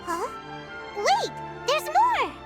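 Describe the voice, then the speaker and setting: Very high squeaky voice, tiny childlike fairy companion, chirpy, lively intonation, video game dialogue, loud music behind. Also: female voice, extremely slow, full character voice. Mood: curious, excited. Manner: chirpy, exaggerated, expressive.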